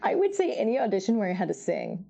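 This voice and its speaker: A young actress with a deep voice for a woman, chatting in an interview and telling stories with laughs and many restarts. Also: very animated, fast talker, vocal fry, warm tone, muffled recording, faint music behind. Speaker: actress guest. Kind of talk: casual interview chat.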